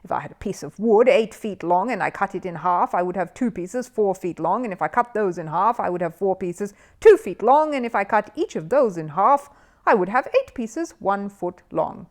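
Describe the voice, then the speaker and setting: A woman's voice: medium pitch, animated, normal speed, lightly acted. A woman voicing a showy, exasperated male quiz-show host in a storybook, emphatic and condescending as if announcing to a hall. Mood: brisk, triumphant. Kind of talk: children's storybook character voice.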